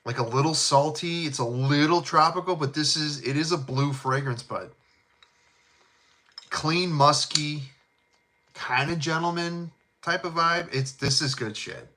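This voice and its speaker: Bright-voiced Chicago South Side man. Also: on the high side, unhurried. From Chicago, USA. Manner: laid-back, rambling, chummy, with fillers and laughs. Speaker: fragrance channel host. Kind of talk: casual live chat.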